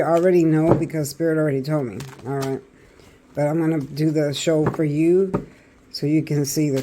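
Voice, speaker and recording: A man with a raspy voice doing a chatty tarot reading, talking straight to his viewers. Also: a little high, background noise. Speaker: tarot reader.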